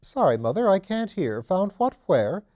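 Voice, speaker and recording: A man's voice: sits high, very animated, moderate pace, a little mellow, pitched above the performer's own voice. A man voicing an amiable aristocratic detective in an audiobook, breezy, leisurely and courteous. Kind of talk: audiobook character dialogue.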